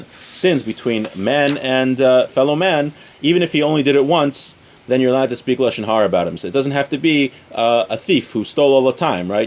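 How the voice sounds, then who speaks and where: Male religious teacher, mid-pitched, informal class delivery, explanatory, restates points in plain everyday terms. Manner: explanatory, repeats and rephrases points with everyday examples. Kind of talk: informal religious lesson, reading source text then explaining.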